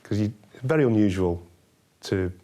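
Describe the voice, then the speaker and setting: A man's voice: fairly low pitch, lively intonation, moderate pace, dark tone. A man recalling police work in an unscripted interview, matter-of-fact and colloquial like a detective.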